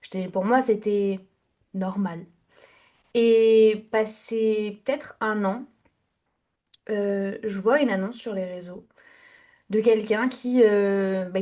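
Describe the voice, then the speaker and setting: A woman's voice: mid-range voice, dark tone. A young woman guest on a podcast, telling her story in a candid, chatty way with fillers and restarts. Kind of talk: casual interview storytelling.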